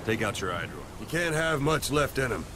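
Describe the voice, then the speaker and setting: A man's voice: mid-range voice, big pitch swings, fairly quick, background noise, lightly acted. A man playing a blunt mercenary commander. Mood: low, steady. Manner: blunt, clipped, matter-of-fact briefing. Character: gruff male mercenary commander briefing a soldier. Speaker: commander giving a mission briefing. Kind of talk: video game cutscene acting.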